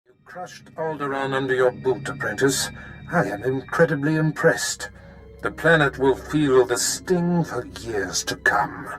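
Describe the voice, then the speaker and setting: An actor playing a calculating, imperious Sith master in a video game, speaking in a measured, formal way. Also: male voice, medium pitch, lively intonation, fairly bright tone, soft background music, rough recording. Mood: pleased, menacing. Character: menacing Sith master, calculating, imperious older male villain. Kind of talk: cinematic game voice acting.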